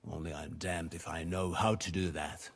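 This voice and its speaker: A man playing a shrewd, weary middle-aged lord in a video game, speaking in a formal, measured and commanding manner. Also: sits low, flat delivery, creaky, husky, full character voice. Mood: wry, guarded. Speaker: medieval nobleman. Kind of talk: video game cutscene acting.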